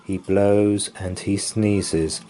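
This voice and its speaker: A man reading a children's bedtime picture book aloud in a slow, sing-song storytelling manner. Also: fairly low pitch, fairly even intonation, dark tone. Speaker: story reader.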